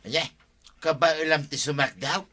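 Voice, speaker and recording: Bright-voiced teasing Ilocano uncle. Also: male voice, on the high side, normal speed. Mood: instructing. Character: teasing middle-aged village uncle. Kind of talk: comedic radio drama acting.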